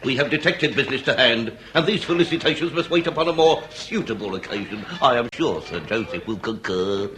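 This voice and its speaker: An actor playing a pompous, irascible Victorian police inspector with a British accent, speaking in florid, blustering, orotund phrases for a comic period radio drama. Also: male voice, medium pitch, lively intonation, fairly quick, few pauses, lightly acted. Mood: self-important.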